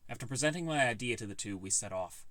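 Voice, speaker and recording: A man's voice: mid-range voice, animated, quick pace, sharp tone, no echo. A man reading a scripted first-person fantasy story as an audiobook narrator.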